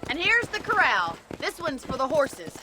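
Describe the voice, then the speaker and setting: A woman's voice: high voice, huge pitch swings, moderate pace, heavy background noise. An actress playing a wry, plain-spoken young ranch woman in a western game, with an American accent and a dry, forthright, teasing manner. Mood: brisk, spirited. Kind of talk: cinematic western game acting.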